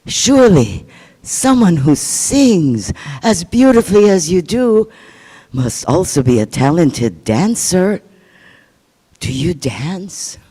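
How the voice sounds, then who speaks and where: A man's voice: high-pitched, slow pace, husky, exaggerated voice. A man doing a brave clever young boy. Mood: coaxing. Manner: pleading, sweetly flattering.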